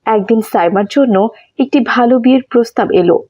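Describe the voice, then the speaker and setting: A woman narrates a scripted moral tale for children. Her voice is mellow and somewhat high. The recording sounds a little muffled and lo-fi. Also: a little fast.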